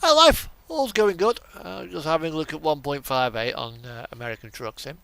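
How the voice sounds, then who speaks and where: A man's voice: fairly high pitch, lively intonation, moderate pace, creaky, a bit bright, no echo. A man giving casual, rambling game commentary, thinking aloud and trailing off as he plays. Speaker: streamer playing a truck simulator.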